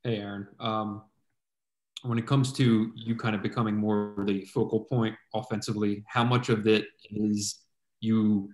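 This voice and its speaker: A male sports reporter asks a question over a video call. His voice sits at a middle pitch, and he hesitates and rephrases as he goes. A roomy echo surrounds the voice. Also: level tone, a little slow, a little mellow. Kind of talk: press conference question.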